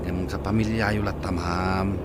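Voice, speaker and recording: A man's voice: low-pitched, level tone, measured pace, heavy background noise. A man acting a good-natured, respectful household driver in a radio drama. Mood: concerned, pleading.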